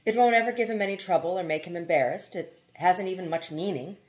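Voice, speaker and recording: A woman voicing a thoughtful young mother and protective wife in a storybook, gentle and questioning. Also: on the low side, fast talker, natural voice.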